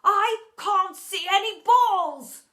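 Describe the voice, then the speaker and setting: A woman voicing a blustering, obsessive male schoolteacher in a booming, bellowing manner for a children's story. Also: a little fast, pushed and bright. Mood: indignant, baffled.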